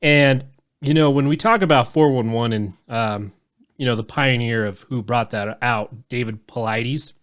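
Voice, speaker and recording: A man in his thirties chatting on a podcast at a middle pitch. He rambles loosely, circles back on himself and drops curse words without a second thought. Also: a little slow, fairly bright tone, clear, resonant tone. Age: 30s. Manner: loose and rambling, swears freely. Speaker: podcast host. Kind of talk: casual podcast chat.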